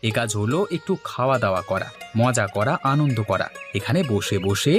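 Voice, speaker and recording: A man's voice: fairly low pitch, animated, moderate pace, a bit bright, faint music behind. An actor playing an eager, persuasive village man in a cartoon folk tale. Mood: eager, rallying. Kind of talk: animated cartoon dialogue in Bengali.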